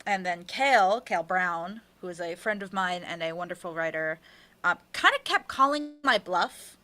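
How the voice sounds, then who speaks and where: A woman's voice: lowish voice, animated, normal speed, sharp tone. An American woman chatting casually as an interview guest, wry and often interrupting herself as she tells stories. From United States. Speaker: interview guest, audio drama writer and producer. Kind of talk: casual chat.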